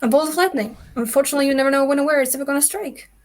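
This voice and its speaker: A woman playing an excitable, eccentric old male inventor in a theatrical script read. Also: high-pitched, rapid-fire, lightly acted. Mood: earnest. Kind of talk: table read of a film script.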